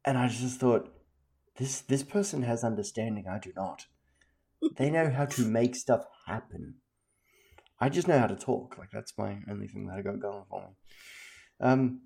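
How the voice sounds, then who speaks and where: A man's voice: mid-range voice, creaky, mellow. A man in his forties hosting an interview, who talks with enthusiasm and stumbles over repeated words. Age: 40s. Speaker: interview host. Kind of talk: casual interview chat.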